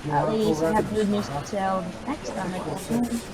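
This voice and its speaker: A woman gamer reading visual novel narration aloud, halting and stumbling over words. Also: mid-range voice, over a noisy crowd.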